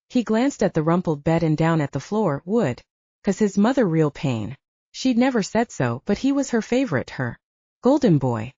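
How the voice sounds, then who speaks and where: A woman's voice: fairly low pitch, warm tone, dry recording. A woman narrating an audiobook, reading scripted fiction. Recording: dull, lo-fi, narrow sound.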